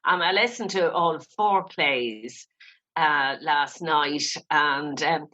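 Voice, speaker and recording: Low-voiced Irish woman, slightly muffled. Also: slow, sharp tone. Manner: chatty, peppered with "you know". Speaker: guest, playwright. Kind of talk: casual interview chat.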